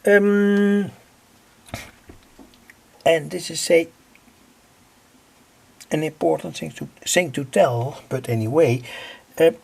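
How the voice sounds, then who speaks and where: A man giving an informal technical explanation in a halting way, often dropping in small filler phrases as he presents. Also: on the high side, creaky, a little mellow. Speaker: presenter of electronics project videos. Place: at home, indoors.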